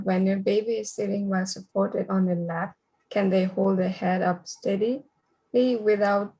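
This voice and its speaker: A woman from Nepal speaks English with a Nepali accent in a very flat, even intonation. Her voice is husky and unclear at the edges as she reads questions and explains them to parents. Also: medium pitch, poor audio. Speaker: early childhood program facilitator.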